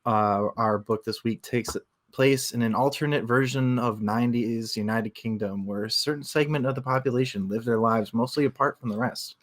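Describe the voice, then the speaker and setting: Mid-pitched man casually co-hosting a stream. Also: normal speed, a bit bright. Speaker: co-host of a book club livestream.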